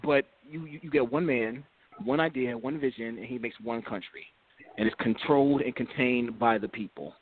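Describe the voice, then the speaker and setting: A man at a middle pitch who talks haltingly, backing up and repeating words as he gathers his thoughts. His voice comes through thin and boxy, like a phone call. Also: slight background noise. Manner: halting, many restarts and repeats. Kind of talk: casual group discussion. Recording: tinny phone-like line.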